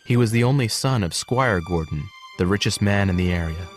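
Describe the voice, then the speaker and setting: A man playing a gentle horse who narrates his life story in a measured, earnest storybook style. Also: lowish voice, expressive, faint music behind, natural voice. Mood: reflective.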